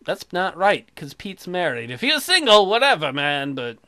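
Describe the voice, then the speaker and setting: A man's voice: sits high, big pitch swings, normal speed, bright, forceful tone. A man giving casual, crude and sarcastic game commentary as he plays. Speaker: let's player.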